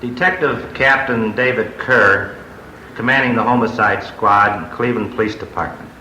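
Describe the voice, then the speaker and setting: A man's voice: fairly low pitch, normal speed, music in the background. A man with an American accent giving a formal, matter-of-fact statement as a police detective captain. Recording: dull, echoey, noisy 1940s radio recording.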